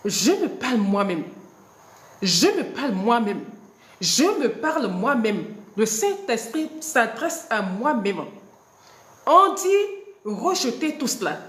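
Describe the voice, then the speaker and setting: A woman's voice: fairly high pitch, big pitch swings, slow pace, a bit bright, reverberant. A woman preaching a devotional sermon with a cold, laughing often and restarting her sentences. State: has a cold.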